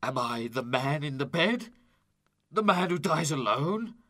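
An actor voicing a frightened, remorseful old miser from a storybook, pleading in anguish. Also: male voice, a little high, expressive, measured pace, sharp tone, no echo, studio quality, full character voice. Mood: terrified pleading.

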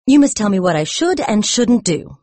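A man voicing a worldly young countess, lonely and frank, in an airy, softened audiobook voice. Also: high-pitched, sing-song, normal speed, dry recording, voice pushed up. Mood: pleading. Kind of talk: audiobook character voice.

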